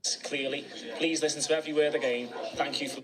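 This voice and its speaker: A man's voice: a little high, fairly even intonation, quick pace, a bit bright. A man with a Scouse accent demonstrating his local speech in a recorded video clip, saying each word with care.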